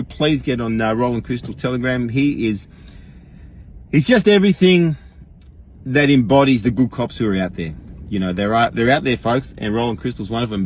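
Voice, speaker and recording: A man's voice: medium pitch, slight background noise. An Australian man hosting a livestream, ranting casually and wryly to his followers as he addresses them directly. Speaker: livestream host.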